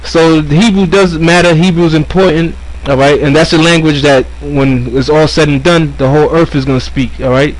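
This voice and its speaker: A man's voice: on the high side, steady tone, a little fast, hardly any pauses, rough recording. A man giving casual religious commentary, earnest and repeating himself often. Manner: earnest, repeats words, says "all right" often. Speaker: co-host.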